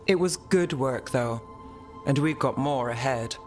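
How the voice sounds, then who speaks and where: An actor playing a cool, dry-witted investigator in a fantasy video game, wry and matter-of-fact. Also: male voice, mid-range voice, measured pace, a little mellow, faint music behind, close to an everyday voice.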